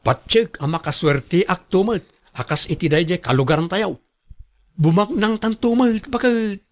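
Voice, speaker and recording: A man's voice: high-pitched, moderate pace, close to an everyday voice. A man with an Ilocano accent playing a lazy, dreamy husband who stubbornly boasts of coming riches in a radio drama. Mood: boastful, hopeful.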